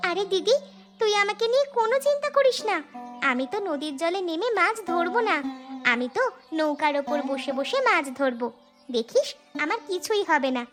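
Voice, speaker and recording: Woman doing a really high little village schoolgirl, earnest cartoon younger sister, music under it. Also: sing-song, normal speed. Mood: insistent. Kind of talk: cartoon fairy-tale dialogue acting.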